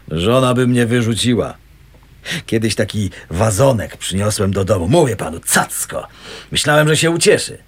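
A man's voice: on the low side, expressive, normal speed, breathy edge. An actor playing a good-natured, chatty married local man in a radio play, folksy and colloquial. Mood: chatty, amused.

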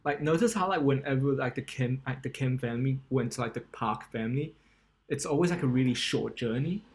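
A man's voice: mid-range voice, fairly quick, a little mellow. Young guy from taiwan rambling on a podcast, tons of "like", mid-range voice. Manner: rambling, many fillers and restarts. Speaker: podcast co-host. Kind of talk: casual chat.

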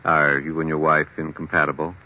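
An actor playing a stern, probing psychiatrist in a 1940s radio drama, with measured clinical questioning on a muffled old recording. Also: male voice, rumbling low, little pitch movement, a little hiss or hum. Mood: probing, detached.

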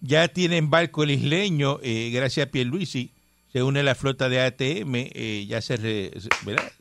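A man's voice: mid-range voice, normal speed, creaky, background noise. A man from Cuba hosting a casual radio talk show, rambling and emphatic with many fillers. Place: radio studio.

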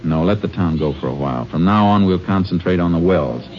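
An American actor playing a steady, determined Texas Ranger in a 1950s radio drama, plain-spoken and folksy as he talks and narrates. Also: deep voice, brisk, a little hiss or hum. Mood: firm. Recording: dull old 1950 radio recording, music behind.

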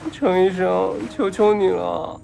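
Audio drama role, male actor: drugged young man, clingy and pleading, slurred breathless delivery, gravelly fry. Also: high voice, little pitch movement, takes it slowly, warm tone, faint music behind, full character voice. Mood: frightened pleading. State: weak and feverish from drugs. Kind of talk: Chinese audio drama acting.

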